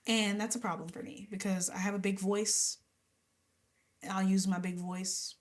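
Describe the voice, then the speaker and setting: A woman's voice: mid-range voice, little pitch movement, unhurried. A young adult woman singer, a guest in an interview, talking casually and with animation, laughing often and sometimes dropping to a whisper.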